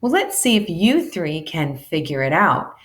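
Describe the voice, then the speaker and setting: A woman giving an animated, deliberate read-aloud of a children's educational storybook, shifting between character lines. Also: on the low side. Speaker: teacher reading a children's math book aloud.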